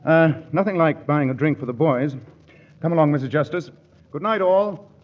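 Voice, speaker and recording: An actor playing a fussy, flustered newlywed husband in a 1940s radio comedy, on a dull old broadcast recording. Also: male voice, a little high, normal speed, lightly acted.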